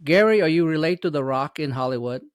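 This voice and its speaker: A man's voice: fairly high pitch, animated, fast, clear, resonant tone, no echo, clean studio sound. A man hosting an interview show, asking questions with frequent stumbles and self-corrections. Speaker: host. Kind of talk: casual interview.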